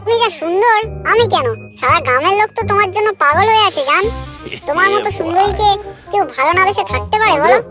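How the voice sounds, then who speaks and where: A very high, squeaky cartoon voice for a scheming village Romeo. The lover is crude and sweet-talking, rattling along fast. Loud children's music plays behind him. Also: male voice. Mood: pleading, flattering. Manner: crude, flattering. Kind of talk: comic cartoon dialogue.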